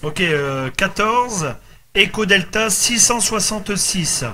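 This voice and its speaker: Male radio ham, high forceful voice, casual, repetitive delivery, faint noise. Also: lively intonation, slow. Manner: repeats himself, tag words. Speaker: amateur radio operator running a group contact.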